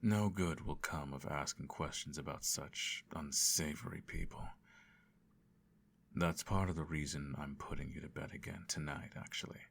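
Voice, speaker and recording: Evil emperor father , male actor, extremely low gravelly voice, formal and condescending, quietly menacing bedtime ASMR roleplay. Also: moderate pace, full character voice. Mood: cold, menacing. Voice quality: very deep, creaky.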